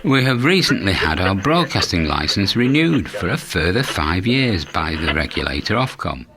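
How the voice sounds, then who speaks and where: Low-voiced, lively male narrator over music. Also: normal speed. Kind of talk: scripted promotional narration.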